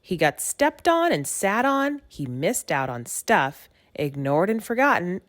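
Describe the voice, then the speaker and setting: A woman giving an expressive read-aloud narration of a rhyming children's story. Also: lowish voice, measured pace, no echo, studio quality. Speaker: storybook reader.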